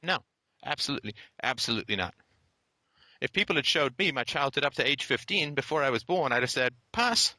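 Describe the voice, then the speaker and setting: A man's voice: medium pitch, animated, a little fast, dry recording. A man hosting a call-in show, talking bluntly and repeating points in a lecturing way. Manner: forceful, repetitive, lecturing. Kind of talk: blunt philosophical call-in talk.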